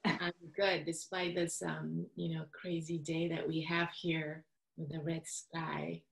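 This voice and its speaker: A woman's voice: low-pitched, little pitch movement, slow, gravelly. A woman interviewing a guest in a casual, friendly way, using many fillers like um and you know. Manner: friendly, with frequent ums and you-knows. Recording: roomy echo.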